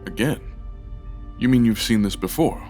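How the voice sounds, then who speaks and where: A man playing a solemn, gentle guide in an audio drama, with a measured, grave manner. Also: lowish voice, faint music behind, natural voice. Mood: solemn, probing. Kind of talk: full cast audio drama acting.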